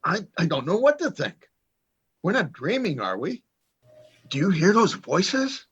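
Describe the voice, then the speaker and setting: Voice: mid-range voice, sing-song, measured pace, a bit bright, husky, muffled recording, full character voice. An actor playing a bewildered middle-aged father who stammers uneasily in an amateur radio play. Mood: uneasy.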